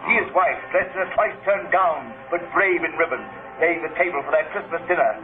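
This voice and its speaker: An actor playing a grave, knowing Christmas spirit in a vintage radio drama. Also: male voice, a little high, brisk, natural voice. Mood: solemn. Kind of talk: 1930s radio play acting. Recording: noisy tinny vintage radio broadcast.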